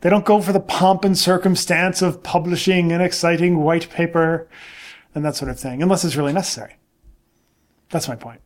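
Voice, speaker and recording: Fast-talking, insistent man in casual debate. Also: fairly high pitch, fairly even intonation. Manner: insistent, emphatic, repeats himself. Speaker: nonprofit director and podcast co-host. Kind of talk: casual debate, opinionated discussion.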